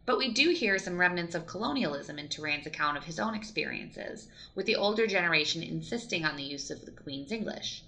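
A woman hosting, asking interview questions and giving measured explanatory narration. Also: on the low side, animated, bright, forceful tone. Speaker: podcast host and interviewer.

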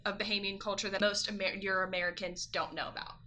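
A woman's voice: medium pitch, level tone, fast talker, sharp tone, slight background noise. A woman co-hosting, putting questions to a guest and narrating commentary. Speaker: co-host and interviewer.

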